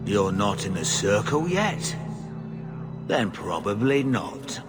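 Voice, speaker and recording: A man's voice: fairly low pitch, very animated, slow pace. Blunt merc captain from a video game, takes his time, music playing behind. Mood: businesslike. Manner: rough, plain-spoken, slangy. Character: blunt, gruff mercenary company leader.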